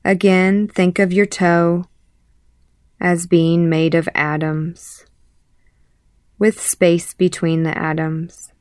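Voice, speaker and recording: A woman leading a guided meditation as an instructor, calmly and in short, measured phrases with pauses. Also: fairly low pitch, no room sound. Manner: calm, measured, pausing between short phrases. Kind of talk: guided body scan meditation.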